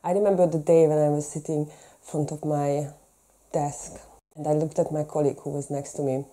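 A middle-aged woman with a Hungarian accent recounts memories in an interview, acting out bits of speech as she goes. Her voice is low and very dark and soft in tone. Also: flat delivery, brisk. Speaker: documentary interviewee.

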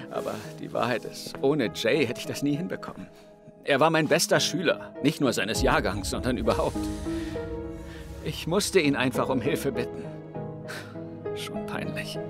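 A man acts as a youngish male teacher in a radio play, overwhelmed and easily embarrassed. He justifies himself haltingly in a mid-pitched voice, with clear music underneath. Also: natural voice. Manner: hesitant, self-justifying. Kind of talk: audio drama acting. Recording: music behind the voice.

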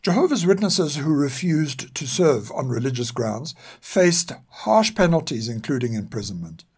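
An older man reads scripted historical narration in a measured, formal and serious way. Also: a little high, big pitch swings, breathy edge. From South Africa. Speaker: narrator and podcast author.

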